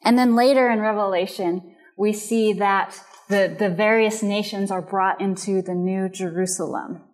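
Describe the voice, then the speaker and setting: A woman with a Japanese and American background, giving a measured, earnest lecture on biblical counseling and culture. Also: mid-range voice, fairly even intonation, a little mellow. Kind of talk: recorded lecture.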